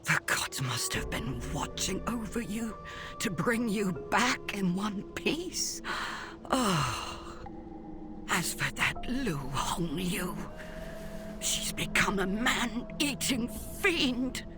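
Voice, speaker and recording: A woman's voice: mid-range voice, big pitch swings, takes it slowly, over music. An actress playing a protective, devout village mother figure in a video game, fussing and scolding. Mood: worried, scolding. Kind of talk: video game cutscene acting.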